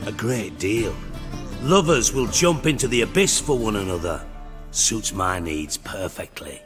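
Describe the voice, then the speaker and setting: An actor playing a cynical middle-aged spymaster in a video game, with a British accent and a mockingly polite, wry, profane way of talking. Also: male voice, fairly low pitch, expressive, a little slow, long reverb tail, music in the background. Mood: smug. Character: cynical, shrewd, sarcastic male underworld spymaster, middle-aged.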